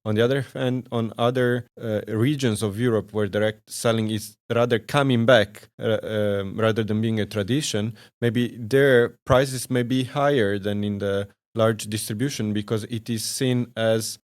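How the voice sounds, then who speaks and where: A man hosting a podcast, asking long questions in measured, formal phrasing. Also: lowish voice, fairly even intonation. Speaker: podcast host. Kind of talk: interview questions.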